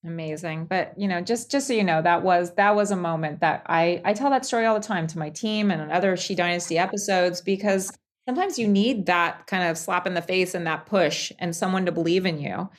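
A woman's voice: on the low side, fairly quick. A woman hosting a podcast, asking questions and thanking her guest, with frequent restarts. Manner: appreciative, hesitant with restarts. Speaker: podcast host. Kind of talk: interview questions.

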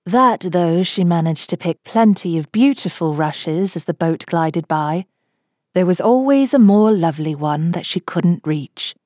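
Slow, mellow woman reading a storybook. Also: lowish voice, expressive, no echo, clean studio sound. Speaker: audiobook reader. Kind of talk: gentle storybook narration.

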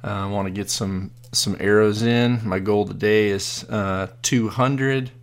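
A hoarse man hosting a live podcast, chatting casually and rambling with many fillers and restarts. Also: fairly low pitch, steady tone, a little slow. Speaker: podcast host.

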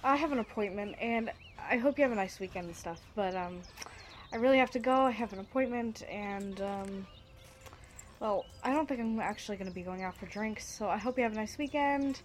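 A woman's voice: mid-range voice, brisk, slight background noise, lightly acted. A young woman playing a sarcastic, foul-mouthed, distrustful student in an amateur radio drama, with a blunt, casual manner. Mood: annoyed, dismissive.

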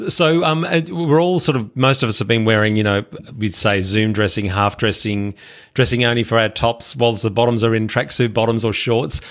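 A man's voice: mid-range voice, steady tone, normal speed, a bit bright. Friendly man hosting a podcast. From Australia. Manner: friendly, short affirmations, hesitant questions. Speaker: podcast host, interviewer. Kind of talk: casual podcast interview.

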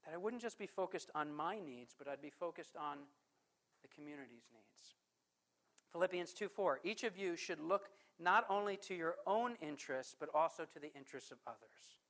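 A man preaching a sermon as pastor. He puts questions to the congregation, slows down to stress scripture, and swings from forceful to hushed. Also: fairly high pitch. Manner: emphatic preaching with rhetorical questions.

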